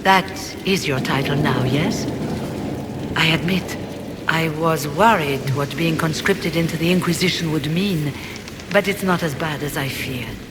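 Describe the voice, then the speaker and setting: An actress playing a polite, earnest mage conscript in a video game, formal and measured. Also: female voice, sits low, long reverb tail, background noise.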